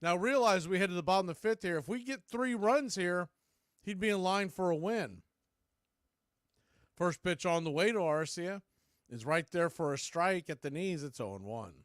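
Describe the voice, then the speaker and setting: A man does live baseball play-by-play. His voice sits a little high for a man and comes through close and dry. He delivers the action in short, clipped phrases. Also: animated, normal speed, no echo. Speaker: play-by-play baseball announcer and stream host. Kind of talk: live radio-style play-by-play commentary.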